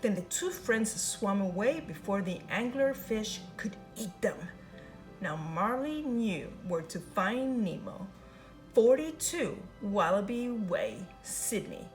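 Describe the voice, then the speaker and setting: Slow, lilting woman reading a storybook. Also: medium pitch, faint music behind, rough recording. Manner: expressive, sing-song storytelling. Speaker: storybook reader. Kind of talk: reading a children's picture book aloud.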